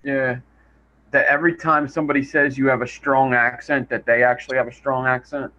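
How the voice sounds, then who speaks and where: A man's voice: medium pitch, little pitch movement, a little fast, bright, forceful tone. Philly-accented man, muffled lo-fi voice. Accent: Philadelphia. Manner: chatty anecdote-telling. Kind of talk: casual group chat on a livestream. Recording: dull lo-fi audio with faint hiss.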